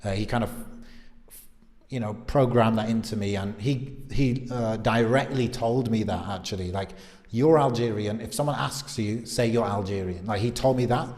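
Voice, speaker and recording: A man's voice: on the low side, normal speed. A young man in casual podcast conversation, telling anecdotes and acting out what people said. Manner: animated, acts out reported dialogue. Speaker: podcast speaker. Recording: long reverb of a hollow room.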